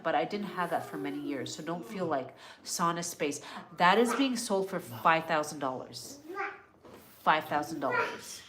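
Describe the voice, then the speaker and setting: A woman's voice: low voice, background noise. A middle-aged woman from North America hosting a casual live wellness Q&A, chatty and earnest as she reads out viewer questions. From born in Canada, grew up in California. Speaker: wellness livestream host.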